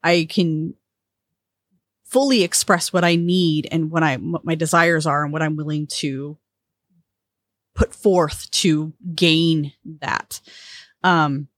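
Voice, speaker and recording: A woman's voice: fairly low pitch, no echo. A woman co-hosting a podcast, talking in a reflective way as she searches for her words. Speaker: podcast co-host. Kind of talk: casual conversation.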